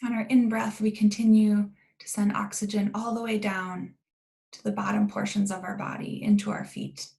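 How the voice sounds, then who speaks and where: A woman guides a meditation in a calm and gentle manner. Her voice often settles into a pronounced creak. She speaks without hurry, leaving long pauses between short phrases. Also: mid-range voice.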